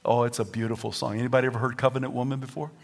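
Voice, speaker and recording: A man with a Southern US accent preaching a sermon as pastor, lively and at times rising to near-shouts and singing. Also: medium pitch, racing, vocal fry.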